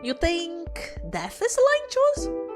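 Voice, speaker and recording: A woman's voice: extremely high pitch, sing-song, takes it slowly, pitched above the performer's own voice, exaggerated voice. Young female visual novel lead, read by a woman, earnest and questioning, music underneath. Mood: doubtful. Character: Ashley, earnest, anxious newly arrived young woman. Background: background music.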